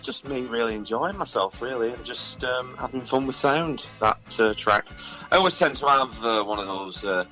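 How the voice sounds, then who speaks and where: A man's voice: mid-range voice, moderate pace, pushed and bright, soft background music, low-quality recording. A man speaking casually as a musician guest in an interview, self-deprecating and rambling a little hesitantly.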